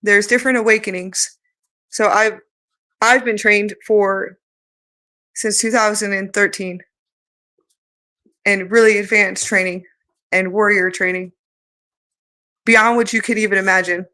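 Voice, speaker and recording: Woman channeler, bright sharp tone, strained altered voice, rhythmic chanted syllables mixed with loose chat. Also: mid-range voice, fairly even intonation, a little slow, long pauses. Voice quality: voice she says sounds changed and strained. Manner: chants flowing rhythmic nonsense syllables between plain remarks. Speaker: spiritual channeler. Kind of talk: light language channeling and casual talk.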